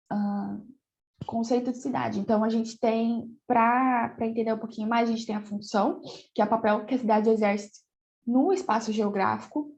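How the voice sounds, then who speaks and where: Woman teacher, slightly hoarse, mellow and fairly high voice, stumbles and repeats words, muffled lo-fi sound. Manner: hesitant, repeats words, frequent "né?". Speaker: geography teacher. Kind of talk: online class lecture.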